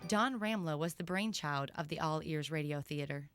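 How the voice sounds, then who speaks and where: A woman's voice: deep voice, moderate pace, soft background music. A woman reporter reading scripted feature narration. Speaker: segment reporter.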